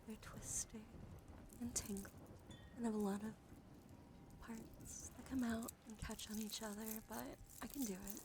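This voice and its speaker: A woman live-streaming ASMR, soothing and reassuring, moving between soft roleplay and casual chat with her viewers. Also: a little high, fairly even intonation, measured pace, long pauses, background noise.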